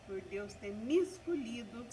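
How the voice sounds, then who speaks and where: A woman's voice: on the high side, animated, measured pace, recorded from a distance, background noise. A woman in her seventies giving an earnest church testimony, often adding "né?" and praising God, recorded on a country property. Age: 70s.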